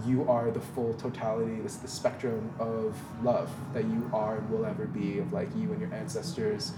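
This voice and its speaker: A man's voice: lowish voice, flat delivery, normal speed, roomy sound, over loud music, low-quality recording. A man whose first language is Mandarin, talking in a casual, reflective way as a podcast guest, rambling with many fillers and restarts.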